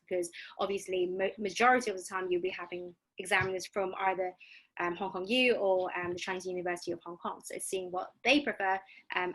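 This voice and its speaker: A woman's voice: medium pitch, a bit bright, poor audio. A woman speaking as a panelist in a webinar discussion, chatty and full of fillers, heard over a video call.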